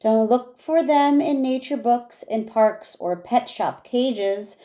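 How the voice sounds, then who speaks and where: Slow-paced woman reading a picture book. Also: fairly high pitch, dark tone. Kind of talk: read-aloud storytelling with casual asides.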